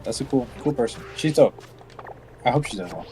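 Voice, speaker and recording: A man's voice: medium pitch, lively intonation, fairly quick, mellow, a little hiss or hum. A man chatting casually as co-host, laid-back and joking, with many "uh"s and "yeah"s. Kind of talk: casual chat.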